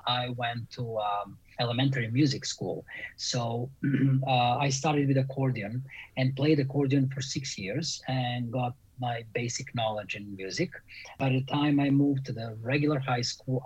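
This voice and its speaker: Serbian accent guy, husky, thinking out loud with lots of uhs, dead dry sound. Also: medium pitch, dry recording, poor audio. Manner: halting, many fillers and restarts, reflective. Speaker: composer and music professor. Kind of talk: interview answer.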